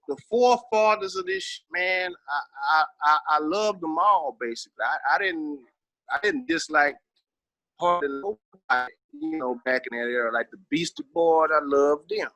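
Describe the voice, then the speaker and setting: A middle-aged man with a Southern American accent and a forceful, bright voice pitched on the high side. He talks as a veteran guest reminiscing, streetwise and emphatic, swearing freely. The sound is close and dry. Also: very animated, a little slow, no room sound, rough recording. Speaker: interview guest, veteran rapper. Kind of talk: casual interview reminiscing.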